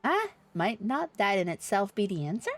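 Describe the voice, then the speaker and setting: Woman playing a solemn, measured fantasy game counsellor. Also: mid-range voice, big pitch swings, faint music behind, lightly acted. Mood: grave. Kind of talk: reading fantasy game dialogue aloud.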